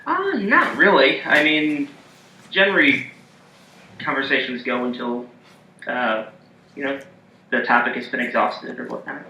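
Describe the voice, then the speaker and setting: A young man chats animatedly and laughs easily as he lines up callers, his speech loose and full of fillers. His voice is bright and lively, in an echoey room with faint noise. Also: mid-range voice, a little slow, pauses often. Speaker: producer lining up callers. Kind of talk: casual chat.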